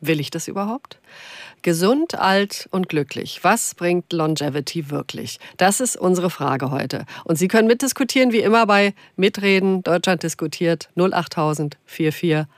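A woman's voice: fairly low pitch, animated, moderate pace, dry recording. A woman in her late fifties hosting a live discussion show on a studio microphone. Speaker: radio talk show host. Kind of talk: live phone-in discussion moderation. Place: radio studio. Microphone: studio broadcast microphone.

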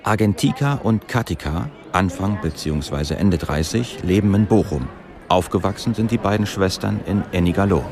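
A man's voice: low-pitched, expressive, moderate pace, a crowd in the background. A man reading scripted narration for a radio documentary.

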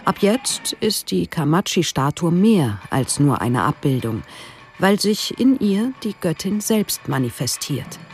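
A woman reading scripted documentary narration in a lively, expressive way. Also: fairly low pitch, measured pace, faint music behind.

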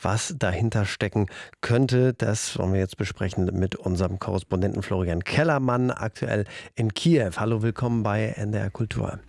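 A man hosting a radio culture program, asking calm, measured interview questions from the studio. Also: fairly low pitch, vocal fry, no room sound. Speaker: radio culture show host.

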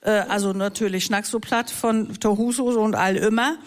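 A woman's voice: medium pitch, fairly even intonation, moderate pace. A woman hosting a talk show, chatting casually with guests and prompting them to tell their stories. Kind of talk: casual interview, mixing in Low German.

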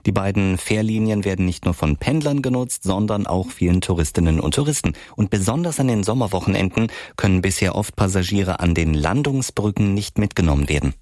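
A man with a deep voice reads the news in a measured, formal way. The sound is dry and close, with no room around it. His delivery is steady and scripted. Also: no room sound. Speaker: news presenter. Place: radio studio.

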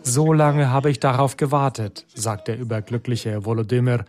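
A man's voice: medium pitch, little pitch movement, normal speed, crowd noise. A man reading a scripted news report as a radio reporter.

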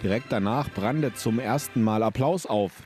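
A male reporter reads a scripted radio feature in a smooth, steady manner. His voice is mellow and warm. Soft music plays faintly behind him. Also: on the low side, normal speed. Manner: smooth, read-out narration.

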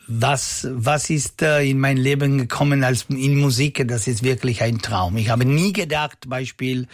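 A middle-aged singer with a thick Italian accent speaks slow, halting German, hunting for words and filling gaps with "äh". His voice has a gravelly, slightly husky grain. He laughs easily between phrases. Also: mid-range voice. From Bozen, South Tyrol, Italy. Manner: halting non-native German, many fillers, laughs easily. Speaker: schlager singer guest. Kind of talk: radio interview, broken German.

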